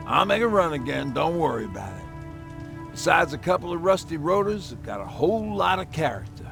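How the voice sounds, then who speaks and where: An actor playing a lighthearted, rambling old survivor in a video game, with a gravelly, folksy delivery. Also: male voice, on the high side, sing-song, music in the background. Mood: friendly, concerned. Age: older. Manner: folksy, reminiscing, pauses and chuckles. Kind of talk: dramatic video game acting.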